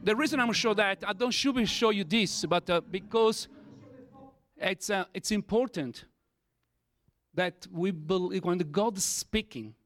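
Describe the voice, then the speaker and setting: A man with an Italian accent, his first language Italian, tells a church testimony in English. He talks in halting bursts, with many fillers and restarts. His voice sits a little high for a man. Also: fairly bright tone. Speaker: church speaker giving testimony. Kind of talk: testimony sermon.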